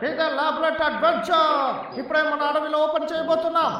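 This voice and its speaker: Very high-pitched scheming cartoon villain. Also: male voice, steady tone, fast talker, crowd noise. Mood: boastful, inviting. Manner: wheedling, boastful showman's patter. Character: scheming male logger villain posing as tour guide. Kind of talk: exaggerated cartoon dub acting. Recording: music behind the voice.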